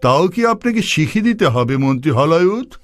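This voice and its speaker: A man's voice: fairly high pitch, sing-song, brisk, no room sound. A man voicing a haughty, pleasure-seeking king in a historical cartoon, speaking in an imperious, commanding way over background music. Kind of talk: animated cartoon voice acting.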